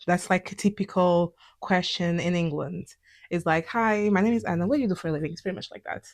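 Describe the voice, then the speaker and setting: A woman's voice: fairly low pitch, a little fast. A young woman with a Portuguese accent, a native Portuguese speaker, talking candidly in a conversational, reflective personal vlog. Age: young adult. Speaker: vlog host.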